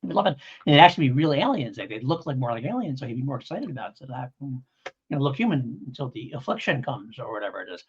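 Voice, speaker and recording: Male podcast co-host, very lively intonation, slightly husky, rambling and keen, on a somewhat dull lo-fi recording. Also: medium pitch, fast talker. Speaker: podcast co-host reviewing audio dramas. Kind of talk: casual review chat.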